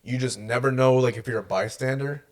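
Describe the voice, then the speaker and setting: A man co-hosting a casual podcast who explains things in a relaxed way, often checking in with "right?". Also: mid-range voice, a little fast. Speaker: podcast co-host; hospital medical worker.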